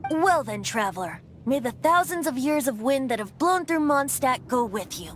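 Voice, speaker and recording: A woman's voice: sits high, animated, normal speed, slight background noise. A woman voicing a breezy, whimsical young male bard in a video game, with a lilting, wistful storyteller delivery. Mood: wistful, earnest.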